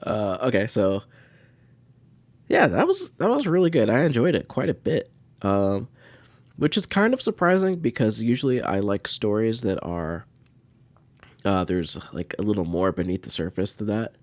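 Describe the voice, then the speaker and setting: A man's voice: fairly low pitch, sing-song, normal speed, dry recording. A man hosting a podcast, chatting casually to listeners in a rambling, laid-back way. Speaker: podcast host. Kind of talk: casual unscripted chat to listeners.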